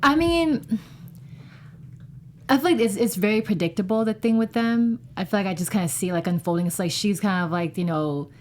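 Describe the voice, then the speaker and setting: Mid-pitched woman chatting haltingly. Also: darkish tone, a little hiss or hum. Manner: halting, full of "like". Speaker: talk show panelist. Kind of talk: casual chat.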